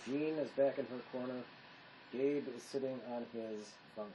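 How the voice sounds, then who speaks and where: Narrator for a script reading, mid-pitched voice, stage directions, clear background noise. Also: steady tone, normal speed.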